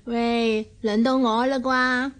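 A put-upon, nosy housewife in a radio drama. Her voice is high, with a Hong Kong Cantonese accent, and she has a complaining, know-it-all manner. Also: little pitch movement, slow. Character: aggrieved, snooping plump housewife and friend.

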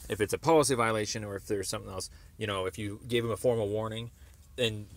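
A man making a phone call, explaining a complaint in a halting, wordy, circling way. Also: fairly low pitch, fairly even intonation. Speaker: caller. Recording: noisy phone call recording.

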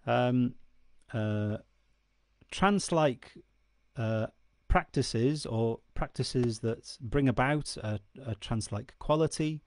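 A man's voice: medium pitch, takes it slowly, dry recording. A man in his forties whose first language is Welsh, explaining Celtic myth to camera in an earnest way and often checking in with the listener. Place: dark room indoors. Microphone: studio mic on stand close in front.

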